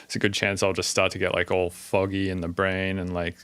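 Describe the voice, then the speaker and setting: A man's voice: sits low, monotone, brisk, a crowd in the background. A man hosting a podcast in laid-back casual chat, full of fillers and casual swearing. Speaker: podcast host.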